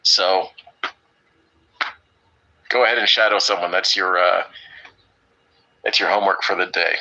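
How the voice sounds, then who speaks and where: Deep-voiced upbeat male English teacher. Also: steady tone, unhurried, gravelly, sharp tone, highs cut off. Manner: upbeat, teasing. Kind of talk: online class.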